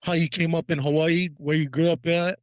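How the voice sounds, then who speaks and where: A man's voice: a little high, steady tone, brisk, dry recording. A man hosting a casual podcast, opening the show and asking questions in a playful, joking way. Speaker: podcast host.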